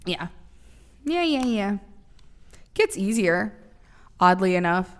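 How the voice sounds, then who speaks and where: A woman's voice: mid-range voice, big pitch swings, slow. A woman in her late twenties co-hosting a podcast, chatting casually with a teasing, big-sisterly manner and free swearing. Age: 20s. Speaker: podcast co-host. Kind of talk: casual chat.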